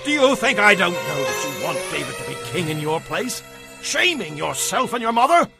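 A man's voice: sits high, big pitch swings, moderate pace, vocal fry, over loud music, heavily acted. A man playing an enraged, domineering king and father in a dramatized audio Bible, harsh and accusatory. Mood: furious.

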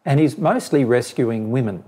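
Man, 40s, hoarse and husky, mellow tone; earnest, direct explainer on a lapel mic. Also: mid-range voice, normal speed. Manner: earnest, direct, repetitive phrasing. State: hoarse, voice giving out. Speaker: spiritual teacher answering questions. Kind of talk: explanatory interview answer. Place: small studio with plain backdrop.

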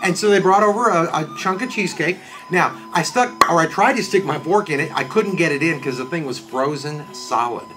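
A man's voice: fairly high pitch, brisk, hardly any pauses, music in the background, rough recording. A middle-aged man, a restaurant critic hosting a casual review chat with a co-host. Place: indoors in front of a backdrop.

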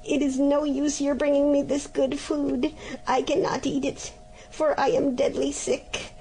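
A woman voicing an anxious mother in a fairy tale who pretends to be deathly ill, speaking high and plaintive. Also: little pitch movement, measured pace, mellow, low-quality recording. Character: fretful mother, feigning deadly sickness.

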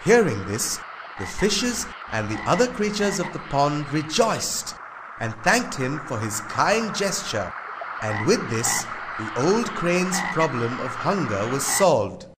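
A man's voice: on the high side, very animated, slow pace, background noise. A man narrating a scripted story for children.

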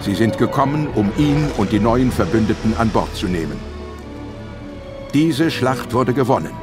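A man's voice: medium pitch, expressive, normal speed. A man narrating a science fiction audio drama in a dramatic, scripted style. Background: orchestral music.

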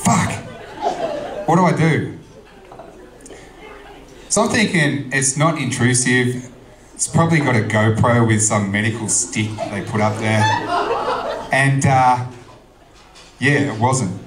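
An Australian man in his thirties doing stand-up, crude and deadpan, in a middle-pitched voice. He speaks into a handheld mic on a club stage, with a roomy echo behind him. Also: slow pace, long pauses, heavy background noise. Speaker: stand-up comedian. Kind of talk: stand-up comedy set. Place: comedy club stage, indoors.

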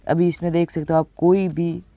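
A man's voice: on the high side, flat delivery, moderate pace, warm tone, no room sound, dull audio, soft background music. A teenage male from India giving a casual step-by-step tutorial, addressing viewers as friends and often checking they follow. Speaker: tutorial presenter.

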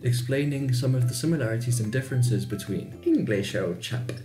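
A man's voice: mid-range voice, brisk, warm tone, faint music behind. A man in his twenties with a South African accent, giving a chatty, informal explainer to camera about languages. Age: 20s. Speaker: YouTube host. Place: small home bedroom.

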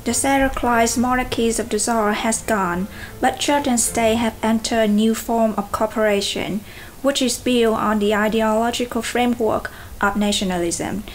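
A woman's voice: on the high side, fairly even intonation, moderate pace, poor audio. A young woman from Vietnam, a master's student, speaking carefully with some hesitation.

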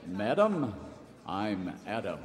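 A man in a radio comedy sketch, delivering short lines in a clipped, deadpan way. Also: lowish voice, slow pace, crowd noise, background noise. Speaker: comedy singer and actor. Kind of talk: radio comedy singing and acting. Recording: echoey stage with loud band.

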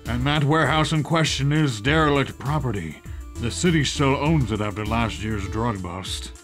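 A man acts a stern older male police chief with a medium-pitched voice. He is weary, authoritative and matter-of-fact in audio-drama style, with faint music behind him. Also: expressive, normal speed, a bit bright, natural voice. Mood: grave briefing.

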